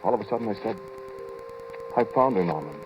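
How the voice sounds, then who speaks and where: An actor playing a haunted inventor who narrates a terrifying experiment in an old-time radio horror drama, halting and intense. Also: male voice, fairly low pitch, animated, background noise, lightly acted. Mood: grave, subdued. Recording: muffled old radio recording, music behind.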